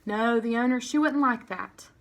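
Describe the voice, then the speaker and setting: A woman's voice: a little high, close to an everyday voice. A woman voicing a shy male pet shop clerk in a storybook, hesitant and mumbling. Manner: mumbling, halting, evasive.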